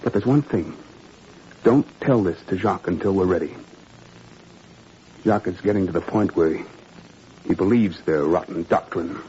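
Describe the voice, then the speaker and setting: A man as low-voiced, earnest idealistic father. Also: normal speed, long pauses, warm tone, lightly acted. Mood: urgent, confiding. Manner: probing, earnest, wryly ironic. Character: idealistic French father, troubled, principled, quietly ironic. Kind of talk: 1940s radio drama acting. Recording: muffled, noisy old radio recording.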